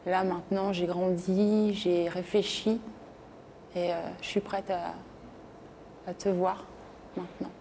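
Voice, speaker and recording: A woman guest speaking to her father on a TV reunion show, halting and hesitant. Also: fairly low pitch, slight background noise. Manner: halting, hesitant, flat delivery. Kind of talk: emotional personal address.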